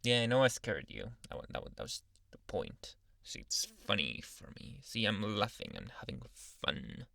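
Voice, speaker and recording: A man voicing a dominant but awkwardly polite alpha male werewolf in an intimate, halting ASMR roleplay, with sighs and murmured asides. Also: on the low side, big pitch swings, vocal fry, sharp tone, no echo, clean studio sound, exaggerated voice. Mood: firm, amused. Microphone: close, dry microphone.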